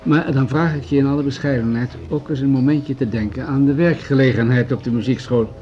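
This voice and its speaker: An actor playing a pragmatic, patronizing municipal official in a radio drama, speaking in measured, formal, argumentative sentences. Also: male voice, medium pitch, fairly even intonation, muffled recording, faint music behind, natural voice. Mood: condescending, firm. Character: pragmatic, patronizing male municipal official pushing budget cuts. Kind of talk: Dutch radio drama acting.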